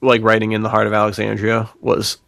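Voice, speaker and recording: A man's voice: fairly low pitch, monotone, fast, gravelly, fairly bright tone, no echo. A man hosting a casual podcast chat about writing, talking in an effusive, informal way as a fellow author. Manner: effusive, informal, says "dude". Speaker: podcast host and fiction author.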